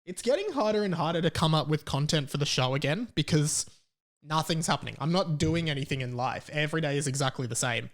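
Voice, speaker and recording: A young Australian man hosts a casual solo podcast. His voice sits a little higher than most men's. He talks in a rambling, conversational way, often repeating words for emphasis. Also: animated, fast. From Melbourne, Australia. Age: 20s. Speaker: podcast host.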